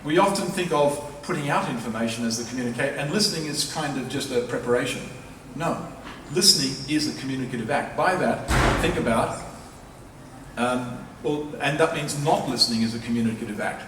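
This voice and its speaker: A man's voice: mid-range voice, a little fast. A man giving a public lecture as a professor, speaking in an emphatic, persuasive way and repeating key phrases for stress. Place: large lecture hall at a university. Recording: echoey hall, distant mic, faint noise.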